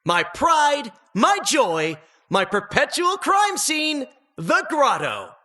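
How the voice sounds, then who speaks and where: A man's voice: extremely high pitch, wildly swooping pitch, slow pace, bright, forceful tone, exaggerated voice. A man playing a boastful, theatrical villain showman in a video game, gloating exuberantly over his deadly lair. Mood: gleeful boasting. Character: gleeful hammy villain showman, proud of his deadly grotto.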